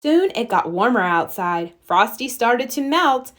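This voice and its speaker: High, very animated woman storyteller. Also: a little slow. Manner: expressive storytelling. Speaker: storybook reader. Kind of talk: children's storybook read-aloud narration.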